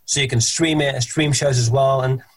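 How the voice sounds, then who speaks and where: A man's voice: medium pitch, fairly even intonation. A man, a guest on a podcast, chatting casually about his band, with frequent restarts and fillers. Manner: halting, lots of restarts and fillers.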